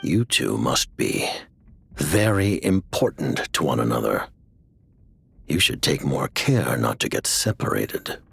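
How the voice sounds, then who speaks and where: Mysterious calm leader, very deep, gravelly, faint low rumble. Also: male voice, full character voice. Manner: measured, cryptic and composed. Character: mysterious calm man, commanding and secretive. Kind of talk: video game voice acting.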